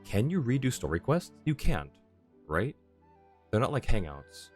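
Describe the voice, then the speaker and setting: A man's voice: medium pitch, sing-song, a little slow. A man doing casual livestream commentary on a video game, rambling and deliberating aloud as he goes. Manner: rambling, deliberating, self-correcting. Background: soft game music.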